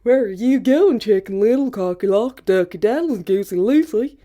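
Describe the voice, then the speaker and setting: A woman's voice: medium pitch, lively intonation, brisk, mellow, natural voice. A woman voicing curious, friendly farmyard birds in a fairy tale, in an eager, questioning singsong.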